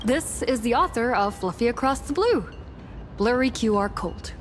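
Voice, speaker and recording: A woman's voice: fairly high pitch, animated, normal speed, slight background noise, lightly acted. A woman voicing a glib, theatrical young trickster in a video game, playful and dramatic in her delivery. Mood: smug.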